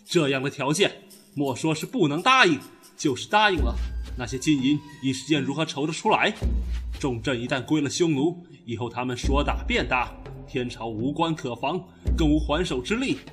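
A man as an earnest, higher-voiced court official. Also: lively intonation, moderate pace, a bit bright, soft background music. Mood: indignant, urgent. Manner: emphatic, formal. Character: earnest, outspoken court vice minister opposing appeasement. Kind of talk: Chinese period audio drama acting.

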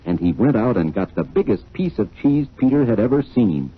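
A man's voice: lowish voice, very animated, moderate pace, husky. A man theatrically narrating a 1940s children's story, acting out the characters. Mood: animated. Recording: tinny, muffled old 1940s recording.

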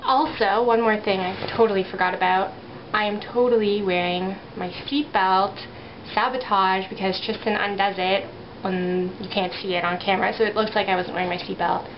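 A woman's voice: medium pitch, steady tone, normal speed. A young woman chatting casually and rambling to her camera from her bedroom. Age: young adult. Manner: rambling, chatty, self-conscious. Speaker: vlogger. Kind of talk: casual vlog chat to camera. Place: small bedroom at night. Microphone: built-in camera mic at arm's length. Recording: muffled old camera audio with clear background hiss.

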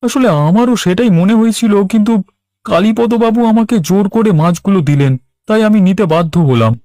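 A man's voice: high voice, fairly quick, mellow, no echo, studio quality, natural voice. A man voicing an eager, deferential village fish seller in a cartoon, with an obliging manner. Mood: apologetic. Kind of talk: Bengali cartoon voice acting.

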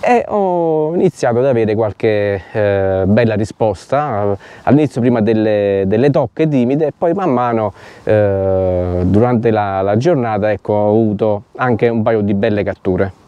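A middle-aged man explaining fishing technique to camera, haltingly, searching for his words. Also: fairly low pitch, expressive, smooth, no echo, lo-fi sound. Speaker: fishing vlogger. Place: outdoors on a grassy lakeshore.

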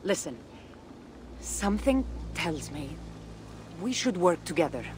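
An actress playing a confident, secretive ancient Greek warrior woman in a video game, with a Greek accent and a measured, teasing manner. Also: lowish voice, very animated, noisy background. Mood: earnest. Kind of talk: video game cutscene acting. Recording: game audio with music behind. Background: game soundtrack music.

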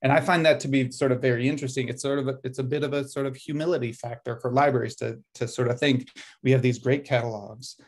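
A man's voice: mid-range voice, fairly even intonation, fast talker, few pauses. A middle-aged man giving a presentation over a video call with shared slides. He thinks aloud, with frequent ums and self-corrections. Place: indoor room at a desk.

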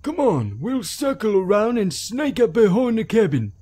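A man voicing a sneaky, greedy frontier guide villain with a husky voice in a cartoon comic dub. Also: high voice, sing-song, moderate pace, dark tone, exaggerated voice. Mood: sly eagerness. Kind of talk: fan comic dub acting.

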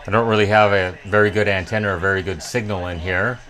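A man's voice: sits low, fairly even intonation, fast talker, fairly bright tone. A middle-aged American man narrating a hands-on electronics repair tutorial in a methodical, plain-spoken way. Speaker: electronics repair video host.

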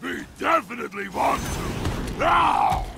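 An actor playing a gleeful, menacing supervillain with a gravelly voice in a video game. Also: male voice, very high-pitched, lively intonation, slow, shouting, full character voice. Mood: gleeful menace. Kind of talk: video game villain acting. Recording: very noisy, machinery-filled action mix.

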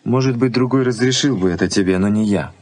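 An actor playing a jealous, possessive young fiancé and student in a Soviet radio play. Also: male voice, on the low side, quick pace, darkish tone, close to an everyday voice. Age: young adult.

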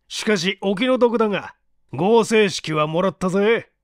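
A man voicing a sneering, menacing villain who taunts roughly in a dramatic story reading. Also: sits high, no room sound, clean studio sound, voice pushed up. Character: sneering, menacing male villain in disguise as a professor.

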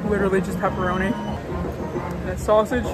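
A man's voice: high voice, little pitch movement, normal speed. Chatty young man with music under him. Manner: chatty, frank, says "like" and "literally" a lot. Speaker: vlogger. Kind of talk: casual food-review vlog. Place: outdoors at a theme park.

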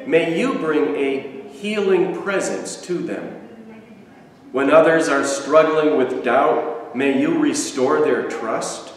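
Slow, solemn older man in echoey church. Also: fairly high pitch. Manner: slow, solemn liturgical delivery. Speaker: pastor. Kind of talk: prayer and benediction. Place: church sanctuary. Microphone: far camera. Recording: echoey sanctuary from far off.

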